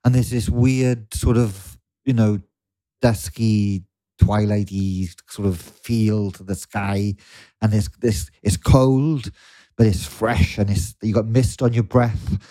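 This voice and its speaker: A mellow-voiced Welshman hosting a laid-back podcast, the sort you would hear chatting with guests over headphones. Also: lowish voice, fairly even intonation, slow. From Wales. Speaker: podcast host. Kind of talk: casual chatty interview.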